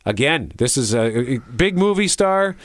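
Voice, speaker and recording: A man's voice: mid-range voice, sing-song, a little slow, dry recording. Man chatting casually, dry close sound. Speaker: show co-host. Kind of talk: casual chat reviewing entertainment.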